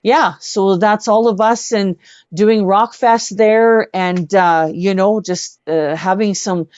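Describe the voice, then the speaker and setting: A Canadian woman talking casually as a guest in an earnest, halting way, often repeating words. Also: medium pitch, fairly even intonation, darkish tone, dry recording, highs cut off. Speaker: guest, singer-songwriter. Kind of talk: casual live conversation.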